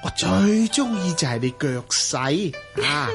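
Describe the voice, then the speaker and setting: A man with a Hong Kong Cantonese accent playing a smooth, lecherous, wealthy merchant in a radio drama, coaxing and flirting. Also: on the high side, big pitch swings, measured pace, over music. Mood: flirtatious coaxing.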